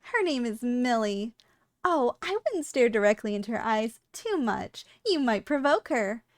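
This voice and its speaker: A woman's voice: fairly high pitch, sing-song, measured pace, dry recording. A woman playing a polite, businesslike shop clerk in a scripted ASMR roleplay, with formal sales patter and dry understatement. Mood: matter-of-fact. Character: polite, businesslike female shop clerk selling dangerous yandere girls.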